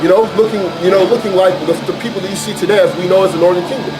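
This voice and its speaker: A man's voice: sits high, fairly even intonation, rapid-fire, over music, rough recording. An American man preaching and teaching scripture informally, arguing his points in an emphatic, repetitive, colloquial way. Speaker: street preacher and Bible teacher.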